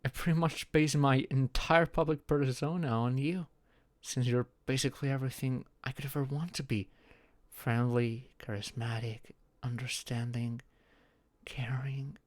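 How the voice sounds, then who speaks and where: A man performs a shy, anxious young man in an intimate audio roleplay. He talks slowly and haltingly at a middling pitch, with an emotionally fragile delivery. The sound is very dry, with no room around the voice. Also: fairly even intonation, dry recording, lightly acted. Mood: fond, admiring. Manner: halting, sighing, breathy murmurs rising to tearful outbursts. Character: shy, anxious young man confiding in his closest friend.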